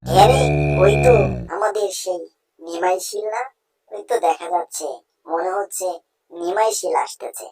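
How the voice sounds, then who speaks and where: A woman's voice: on the low side, fairly even intonation, moderate pace, long pauses, darkish tone, lightly acted. A woman voicing a stern male village moneylender in a cartoon story, menacing and domineering. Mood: sly, confronting. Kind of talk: Bengali cartoon story dubbing.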